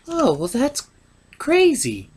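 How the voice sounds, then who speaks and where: A man voicing an excitable, accident-prone squirrel puppet sidekick who blurts things out in a comedy skit. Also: very high-pitched, takes it slowly, husky, voice pushed up, full character voice. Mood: sheepish.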